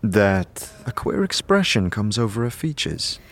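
A man's voice: fairly low pitch, very animated, measured pace. A man narrating a scripted fantasy audio drama in the second person, as a storyteller. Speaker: narrator and lead player. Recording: faint background noise and ambience.

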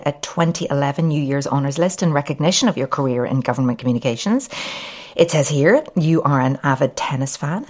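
A woman with a low-pitched voice presents as a podcast host. She delivers polished, scripted introductions and questions, addressing guests in a complimentary way. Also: a little mellow.

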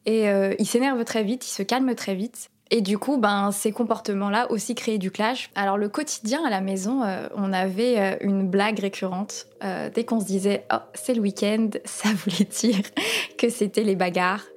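A woman in her twenties answering interview questions, hesitant, with many pauses and fillers. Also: mid-range voice, fairly even intonation, a little mellow. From France. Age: 20s.

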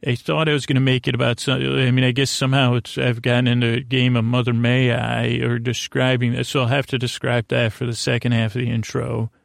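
A man with a distinctly creaky, fry-laden voice delivers a bedtime podcast monologue. He meanders in a soothing way, breaking off and circling back through long-winded asides. The sound is dry, with very little room to it. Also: mid-range voice, level tone, a little fast, few pauses, no room sound. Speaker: podcast host.

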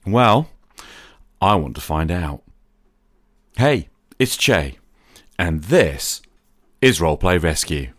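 A man hosting a podcast, delivering a scripted, reflective monologue in a measured, articulate way. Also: low voice, sing-song, fairly bright tone. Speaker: podcast host.

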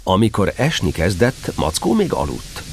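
Low, lively male children's storyteller. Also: gravelly, a little hiss or hum. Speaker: storyteller, narrator. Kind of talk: expressive scripted narration of a children's story.

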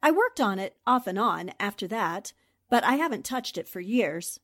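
An actress playing an inquisitive, poised newspaper reporter who asks polite, probing questions, in the style of old-time radio drama. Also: female voice, mid-range voice, big pitch swings, normal speed, a bit bright. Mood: politely curious.

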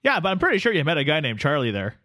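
A man doing a folksy Canadian buddy with a Canadian accent who teases his co-host and tosses out "buddy" and "eh" constantly. His voice sits fairly high and swings widely in pitch. He delivers it as comedy podcast banter. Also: huge pitch swings, very fast, background noise. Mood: teasing, jokey. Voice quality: folksy, teasing, calls everyone buddy. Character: jokey Canadian man, hoser buddy character.

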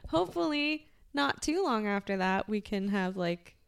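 A woman's voice: mid-range voice, lively intonation, moderate pace, creaky, slight background noise. A woman co-hosting a podcast in casual chat, sounding slightly congested. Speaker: podcast co-host.

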